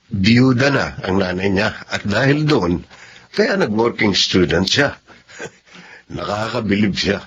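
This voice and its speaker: A man's voice: mid-range voice, fairly bright tone, lo-fi sound, slight background noise. An actor playing a kind, wistful middle-aged male boss in a Filipino radio drama, earnest and given to sighing.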